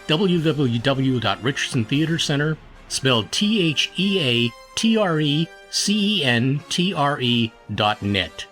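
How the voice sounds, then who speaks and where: Animated male radio announcer over faint music. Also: medium pitch. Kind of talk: scripted radio show sign-off.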